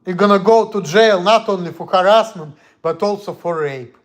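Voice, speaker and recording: Man with a Russian accent, high-pitched, blunt, heated, casual stream chat. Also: normal speed. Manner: blunt, heated, speaks in broken English.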